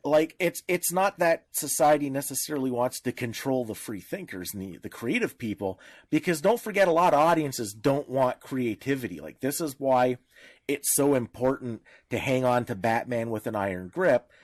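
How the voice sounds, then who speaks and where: A man's voice: mid-range voice, expressive, normal speed, no echo. Male podcast co-host, mid-range voice, very dry recording, casual and opinionated, explains emphatically.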